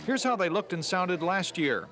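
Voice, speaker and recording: A man's voice: sits high, expressive, moderate pace, music in the background. An American man narrating a wry, scripted television news feature from the 1980s. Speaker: TV news reporter. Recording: noisy 1980s archive news report.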